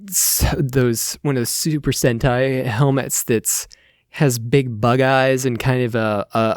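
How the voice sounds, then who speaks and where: A man narrating an improvised tabletop game as game master, informal and halting. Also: medium pitch, clean tone, no echo, studio quality.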